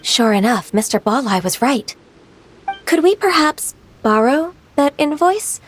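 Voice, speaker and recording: An actress voicing a polite young official in a video game, with a courteous, measured way of speaking. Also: female voice, sits high, expressive, slight background noise.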